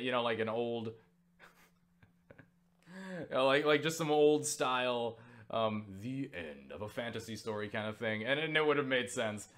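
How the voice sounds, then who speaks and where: A young man casually recounting a story for viewers, excitable and full of swearing and filler words. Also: mid-range voice. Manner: excitable, swears freely, lots of fillers and laughs. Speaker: YouTuber answering viewer questions.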